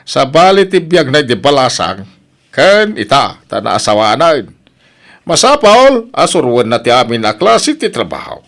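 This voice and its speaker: A man's voice: medium pitch, very animated, a little slow, gravelly, fairly bright tone, exaggerated voice. A man playing a stern, nagging old farmer father-in-law in an audio drama, scolding emphatically. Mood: nagging, sarcastic.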